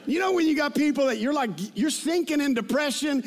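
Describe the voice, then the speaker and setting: Man, fifties, high voice, preacher, conversational sermon, informal, repetitive emphasis. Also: fairly quick, gravelly, a faint crowd. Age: 50s. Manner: informal, repeats phrases for emphasis. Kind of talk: conversational sermon with personal anecdotes.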